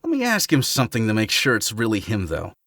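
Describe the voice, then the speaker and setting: A man's voice: lowish voice, wildly swooping pitch, fast, a bit bright, no room sound, studio quality, full character voice. A man voicing an easygoing, goofy hedgehog hero in a fan audio drama, casual and playful. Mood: casual, curious.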